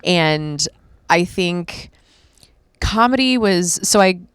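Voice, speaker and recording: Late-thirties woman comic, low and smooth, candid and rambling. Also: expressive, slow, fairly bright tone, no echo, studio quality. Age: 30s. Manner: candid, rambling, self-reflective. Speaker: podcast guest, stand-up comic. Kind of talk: casual conversation.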